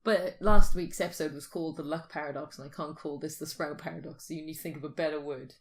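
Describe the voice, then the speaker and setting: A woman's voice: sits low, fairly even intonation, quick pace, a little hiss or hum. A woman co-hosting a podcast in casual, bantering chat, with a playful, giggly, teasing way of talking. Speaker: podcast co-host.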